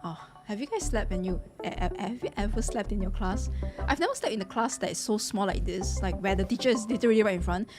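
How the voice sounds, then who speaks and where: A young woman streams her reactions to a game in a casual, chatty way. She is expressive, with a medium-pitched voice and often repeats phrases. Game music plays clearly behind her. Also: fast talker. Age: young adult.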